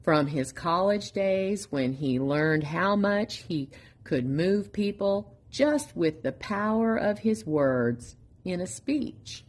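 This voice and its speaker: A woman reading a children's picture book aloud as a storytime reader, in an expressive, deliberate manner with pauses. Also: on the low side.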